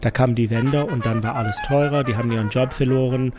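A man's voice: medium pitch, fairly even intonation, quick pace, music in the background. A man from New York with an American accent, explaining informally in an interview as a non-native speaker with slightly unidiomatic phrasing. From New York City, USA. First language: English. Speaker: concert series organiser and interpreter.

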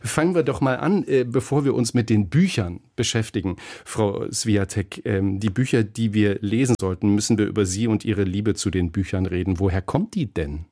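Man, talk radio host, relaxed dark timbre, conversational, dry studio sound. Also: lowish voice, normal speed, hardly any pauses, no echo.

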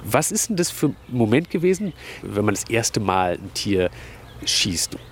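A man's voice: lowish voice, very animated, unhurried, a little hiss or hum. A man reporter asks curious, informal interview questions.

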